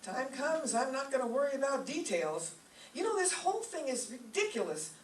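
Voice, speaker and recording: A woman's voice: high-pitched, sing-song, moderate pace. A woman playing a sensible, long-suffering roommate who spooks easily, with emphatic radio comedy delivery. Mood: uneasy, reasoning. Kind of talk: live old-time radio comedy acting. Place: echoey room, mic at a distance. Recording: roomy, distant live stage recording, faint noise.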